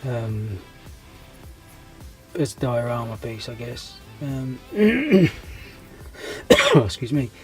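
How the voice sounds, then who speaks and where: A man's voice: medium pitch, very animated, drawn-out words, pauses often, dark tone, soft background music. Bunged-up man rambling casually. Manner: hesitant, many ums and restarts, friendly. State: congested with a cold, losing his voice. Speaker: scale model hobby channel host. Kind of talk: casual rambling vlog update.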